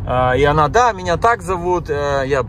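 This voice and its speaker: A man's voice: on the high side, lively intonation, moderate pace, a bit bright, a little hiss or hum. A man born in Kazakhstan who has lived in Germany, chatting casually with his viewers while driving, often exclaiming at what he sees. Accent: Russian speaker from Kazakhstan and Germany. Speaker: vlogger. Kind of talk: casual driving vlog chat. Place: car driving through town.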